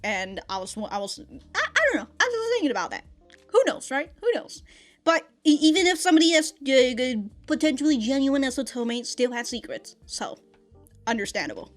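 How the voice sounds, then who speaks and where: A woman's voice: sits high, sing-song, moderate pace, faint music behind. A woman giving a chatty, rambling review as a reaction host, with casual slang and swearing. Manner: profane, slangy, laughs often while talking.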